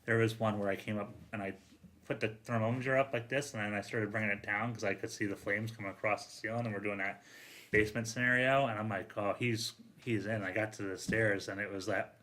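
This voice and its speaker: A man telling stories in a casual, animated chat, quoting banter and swearing a bit as a firefighter would. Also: fairly low pitch, fast talker. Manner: profane, quotes dialogue in banter. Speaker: firefighter and podcast panelist.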